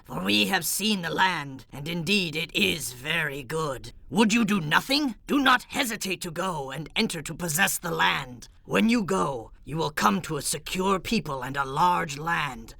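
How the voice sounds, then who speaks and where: An actor playing a bold, commanding Israelite warrior spy in a Bible audio drama, gravelly and urgent. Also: male voice, on the high side, sharp tone, no echo, heavily acted. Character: bold, forceful male Danite warrior spy. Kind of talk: Bible radio theatre dramatization.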